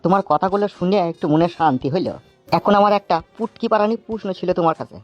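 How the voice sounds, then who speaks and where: A man acts out a pushy male suitor from a comedy cartoon. He speaks rapidly in a coaxing, wheedling way, repeating himself, with light music behind him. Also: high-pitched, lo-fi sound, lightly acted. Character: smooth-talking pushy male suitor wooing an abandoned wife.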